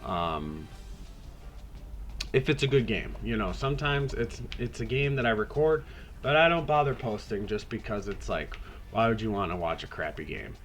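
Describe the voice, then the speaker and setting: Raspy, low-voiced man talking video games. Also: expressive, moderate pace, fairly bright tone, faint music behind. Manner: laid-back, rambling, swears casually. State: hoarse, getting over a cold. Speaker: podcast host. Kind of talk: casual video game commentary.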